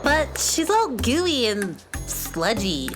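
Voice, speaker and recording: A woman's voice: fairly high pitch, big pitch swings, slow pace, music in the background. A woman playing a young child in a children's space radio drama. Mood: teasing, indignant. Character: children in a space classroom: timid newcomer, teasing classmate. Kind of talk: children's audio drama acting.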